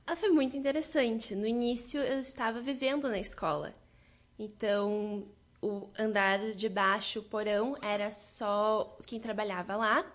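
A young woman from southern Brazil, answering interview questions in a lively way and laughing often. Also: mid-range voice, normal speed. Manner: lively, laughs often, quotes others' reactions. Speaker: guest.

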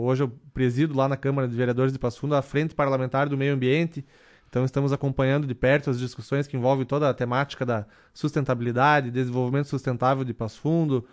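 Thirty-something man, measured radio guest. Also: mid-range voice. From southern Brazil. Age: 30s. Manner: measured, many fillers and restarts. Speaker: city councilman, radio guest. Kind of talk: radio interview answers.

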